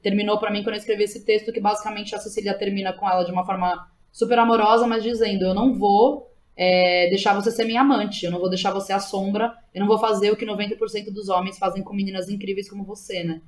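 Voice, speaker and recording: A woman with a São Paulo Brazilian accent speaking as a guest playwright, telling stories in a casual, slangy, animated way and often quoting herself. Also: medium pitch, fast, hardly any pauses. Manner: animated storytelling, slangy, quotes herself and others. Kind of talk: casual conversational interview.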